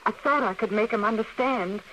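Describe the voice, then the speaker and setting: Woman playing an anxious, bright-voiced frontier woman. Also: a little high, lightly acted. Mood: regretful. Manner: halting, repeating words when flustered. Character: anxious, guilt-ridden frontier woman in a western. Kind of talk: old-time radio western acting. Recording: faintly noisy vintage radio recording.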